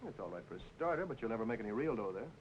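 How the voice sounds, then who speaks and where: A man's voice: lowish voice, sing-song, racing. An actor playing a jealous, irritable young tough man in old radio noir style. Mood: irritated, jealous. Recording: muffled old radio recording, faint noise.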